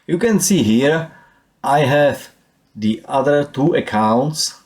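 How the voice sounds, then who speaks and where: A man with a Czech accent walking through his channel's stats, speaking non-native English haltingly with many fillers. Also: on the high side, lively intonation, darkish tone. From Czech Republic. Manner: halting, with frequent "uh" and "let me say". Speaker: YouTuber. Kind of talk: screen-share channel walkthrough.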